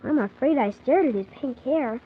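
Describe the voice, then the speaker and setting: A woman's voice: high voice, lively intonation, normal speed, slight background noise. A woman playing an earnest, polite young orphan boy who tells his story wide-eyed in a 1940s children's radio drama. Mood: wide-eyed narrating. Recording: thin, phone-like 1940s radio recording.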